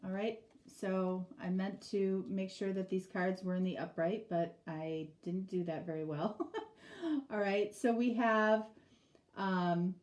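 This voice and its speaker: A woman's voice: mid-range voice, steady tone, slow, a little mellow. A middle-aged woman with a stuffy, nasal voice from a cold, giving a casual tarot reading and rambling through many fillers. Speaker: tarot reader.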